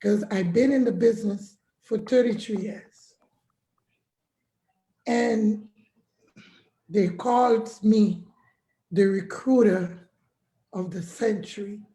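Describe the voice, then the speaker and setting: A hoarse woman giving an earnest, halting testimonial as a guest on an online webinar call. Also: medium pitch, dark tone, echoey room, rough recording. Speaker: guest caller. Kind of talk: casual testimonial. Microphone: video call.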